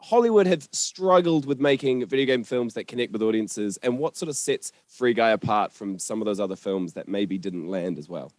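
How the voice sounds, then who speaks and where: A man's voice: lowish voice, animated, moderate pace, hardly any pauses, a little mellow, no room sound. A man hosting, chatting casually and putting questions to guests. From New Zealand. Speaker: podcast host and interviewer. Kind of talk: casual podcast chat and interviewing.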